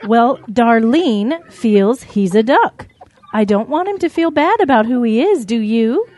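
Woman as a slow-talking, high-voiced mother cow. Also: expressive, darkish tone. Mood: doting, earnest. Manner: slow, defensive, earnest. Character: doting, defensive mother cow, indulgent and slow. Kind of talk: children's animal audio drama acting.

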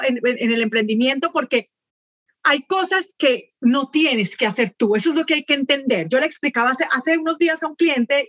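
A woman with a Colombian accent mixed with Venezuelan words, a guest explaining virtual assistance with lively examples in a casual podcast chat. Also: sits high, dry recording.

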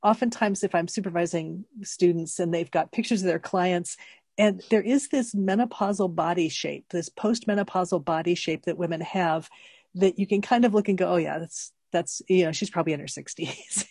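Lowish woman in her 60s chatting, thoughtful and explainy, dry close sound. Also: moderate pace, darkish tone, breathy edge, no echo. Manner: thoughtful, explains at length, laughs easily. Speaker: co-host. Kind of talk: casual chat.

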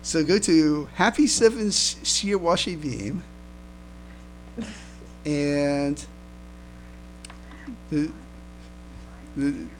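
A man co-hosting a casual fan podcast, speaking haltingly and often repeating his words as he comments. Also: fairly high pitch. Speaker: podcast co-host. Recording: noisy home recording with hum.

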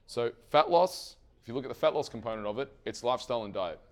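A man losing his voice gives a lively fitness seminar to trainers and keeps asking the room questions. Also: mid-range voice, quick pace. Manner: energetic, asks the audience questions and answers them himself; swears casually. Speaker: fitness coach presenting to trainers. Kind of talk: live seminar presentation.

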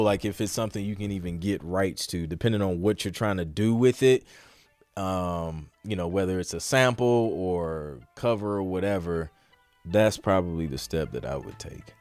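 A man hosting a casual question-and-answer podcast, talking informally with some slang and thinking aloud as he goes. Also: low voice. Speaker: podcast host and music producer answering questions. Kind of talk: casual live Q&A talk.

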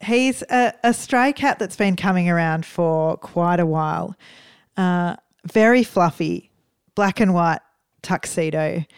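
A woman's voice: on the low side, slow pace, smooth, dry recording, clean studio sound. A woman co-hosting a podcast from home, chatting in an upbeat way and laughing easily. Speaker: podcast co-host. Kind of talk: casual podcast chat. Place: lounge room at home.

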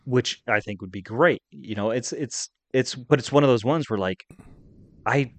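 A man's voice: medium pitch, moderate pace, no echo. A middle-aged man talking casually and conversationally as the co-host of a podcast.

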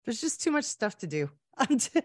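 A woman's voice: a little high, big pitch swings, moderate pace. A woman with a Canadian accent hosting a podcast, chatting casually and conversationally with a guest. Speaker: podcast host. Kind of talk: casual interview chat.

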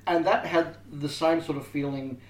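A man's voice: on the high side, steady tone, moderate pace. A man hosting a casual podcast, leading the talk and turning to each co-host in turn. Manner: leads the talk, asks each guest in turn. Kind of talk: casual chat. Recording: echoey room, faint background noise.

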